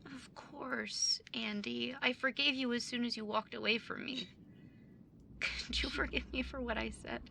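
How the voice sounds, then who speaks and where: A woman's voice: a little high, steady tone, measured pace, creaky, sharp tone, a little hiss or hum, full character voice. A woman playing a guarded, blunt but forgiving younger sibling in a naturalistic audio drama. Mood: earnest, forgiving.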